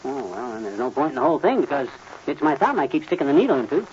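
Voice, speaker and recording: Boastful, folksy American husband played by a male actor, 1950s radio comedy, rapid delivery, hissy old recording. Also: mid-range voice, sing-song, darkish tone, highs cut off, lightly acted. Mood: grumbling. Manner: folksy, tongue-twisting wordplay, pompous phrasing.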